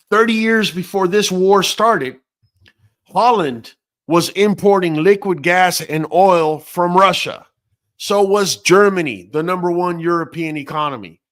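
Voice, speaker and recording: A man's voice: on the high side, fairly bright tone. A man born in Venezuela, hosting a late-night talk show and giving blunt, profane opinions in a casual ranting way. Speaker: host.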